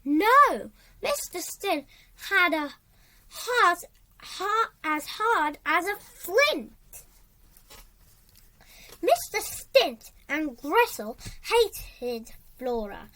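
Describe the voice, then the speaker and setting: High, animated young storybook reader. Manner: halting, stumbles and rereads words. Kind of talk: storybook read aloud.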